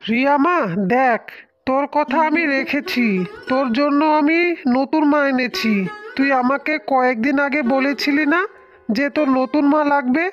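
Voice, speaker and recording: A gentle cartoon father voiced by a woman, unusually high in pitch. He coaxes and consoles softly in a slightly dull recording, with faint music behind. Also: fairly even intonation, moderate pace, natural voice. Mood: reassuring. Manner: consoling, coaxing. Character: gentle, coaxing father of a grieving little girl. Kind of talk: cartoon fairy-tale acting.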